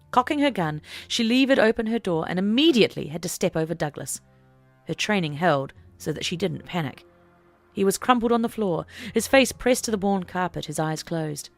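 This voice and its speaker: A woman's voice: lowish voice, gravelly. A woman narrating an audiobook story in a dramatic, scripted reading style.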